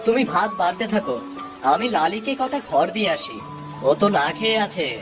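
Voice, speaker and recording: A man voicing a grumbling, fretful village husband and father in a cartoon, expressive and homely. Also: high voice, brisk, over music, rough recording. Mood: matter-of-fact. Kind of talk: Bengali moral-story cartoon voice acting.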